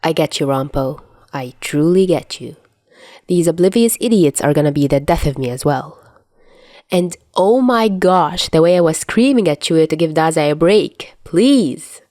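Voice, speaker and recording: A woman's voice: sits low, lively intonation, moderate pace, dark tone, dry recording, studio quality. A woman narrating a story as a podfic reader, in a scripted read-aloud style.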